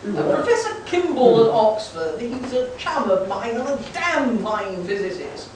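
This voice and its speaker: A woman's voice: fairly high pitch, sing-song, unhurried, darkish tone. A woman in an amateur radio play playing a stern, pompous old male professor and father with old-fashioned, chummy phrasing. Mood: genial, pompous. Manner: pompous, old-fashioned British upper-class turns of phrase. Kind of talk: amateur live comic radio play acting. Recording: very echoey hall, distant, faint noise.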